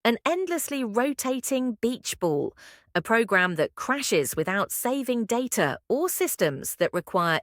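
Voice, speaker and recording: A woman reading prepared practice passages aloud as scripted narration, in a measured, carefully phrased way. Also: on the high side, no room sound, clean studio sound. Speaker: narrator of test practice examples.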